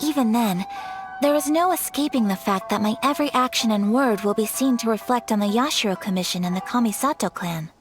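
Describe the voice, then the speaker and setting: Graceful young noblewoman, voiced by a woman, formal, measured, a bit high, music underneath. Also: close to an everyday voice. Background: game music.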